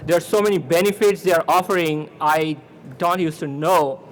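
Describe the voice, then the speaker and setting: Halting young man. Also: fairly high pitch, slight background noise, rough recording. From Bangladesh. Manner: hesitant, halting, with fillers. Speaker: student candidate. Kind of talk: campaign speech.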